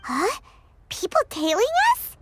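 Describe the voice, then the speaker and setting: A woman's voice: extremely high pitch, very animated, slow, exaggerated voice. A woman voicing a tiny, perky, childlike fairy companion in a video game, eager and loyal. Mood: startled. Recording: music behind the voice.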